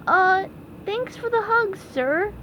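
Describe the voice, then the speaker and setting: A very high, swooping, childlike cartoon sponge, innocent and courteous to everyone as a woman acts him out from a storybook. He sounds wide-eyed and bewildered, on a dull, noisy recording. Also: expressive, slow pace, a bit bright, exaggerated voice. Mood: polite, cheery. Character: childlike, polite, bewildered male cartoon sea sponge with amnesia.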